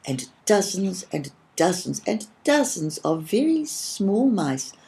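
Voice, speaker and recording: Female storybook reader: low-pitched, soft dark tone, creaky edges, expressive delivery of a scripted children's tale. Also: takes it slowly. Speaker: audiobook reader.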